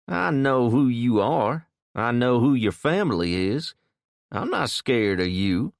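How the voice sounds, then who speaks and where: A man's voice: medium pitch, sing-song, takes it slowly, gravelly, dry recording, clean studio sound, pitched above the performer's own voice, heavily acted. A man voicing a cocky young male thug with a South Louisiana drawl, taunting and threatening.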